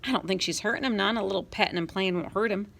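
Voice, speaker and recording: A woman voicing a gentle mountain trapper who talks in a folksy, hesitant way. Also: mid-range voice, fast, vocal fry. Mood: soothing. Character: gentle rough-spoken mountain trapper man.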